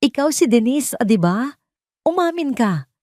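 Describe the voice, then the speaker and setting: A woman's voice: high voice, big pitch swings, measured pace, darkish tone, dry recording, studio quality, voice pushed up. A woman with a Filipino accent voicing a desperate, paranoid businessman in agitated, accusing outbursts for an audio drama. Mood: angry, accusing. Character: desperate, paranoid male businessman, drunk and unraveling.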